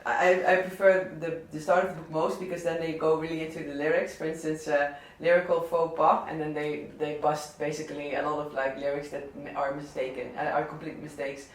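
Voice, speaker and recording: A man with a southern Dutch accent talking casually about favourite records, halting often with fillers and restarts. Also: on the high side, flat delivery. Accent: Dutch, southern Brabant. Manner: hesitant, many fillers and restarts. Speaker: DJ and record selector. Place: indoor listening session. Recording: echoey room, mic at a distance.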